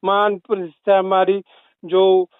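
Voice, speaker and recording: A man speaks over a thin, phone-like line with a high, smooth voice. He talks firmly and insistently and keeps repeating his case. The sound is close and dry. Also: monotone, slow pace, no echo. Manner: firm, insistent, argues his point repeatedly. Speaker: caller in a leaked phone call. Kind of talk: phone conversation.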